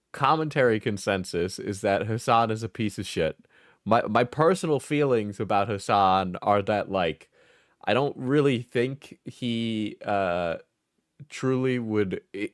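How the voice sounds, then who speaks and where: Sleepy, low-voiced man rambling to chat. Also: no echo, clean studio sound. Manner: rambling, laughs and swears often. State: sleepy, just out of bed. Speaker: livestreamer. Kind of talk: casual livestream chat.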